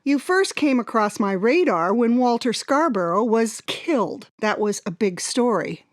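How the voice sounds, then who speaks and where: An actress playing a polished, inquisitive radio talk show host in a radio drama. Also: female voice, a little high, expressive, measured pace, no echo, clean studio sound. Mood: probing. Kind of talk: radio drama acting as a broadcast interviewer.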